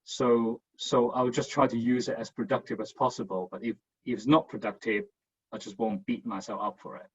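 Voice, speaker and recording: A man's voice: mid-range voice, steady tone, normal speed, husky, highs cut off. A man on a writers' panel, reflecting candidly and with self-deprecation, often repeating himself, heard over an online call.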